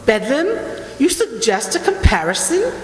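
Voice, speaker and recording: A woman's voice: sits high, sing-song, a little slow. A woman acting in a radio drama as a worldly, mocking signora who is bored and craves adventure, theatrical and teasing. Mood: languid defiance. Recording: echoey hall with faint noise.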